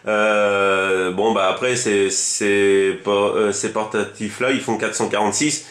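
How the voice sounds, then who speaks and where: A man's voice: fairly low pitch, steady tone, a little slow, a bit bright, reverberant. A man chatting casually as a radio hobbyist, rambling and enthusiastic, often repeating himself. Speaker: amateur CB radio operator.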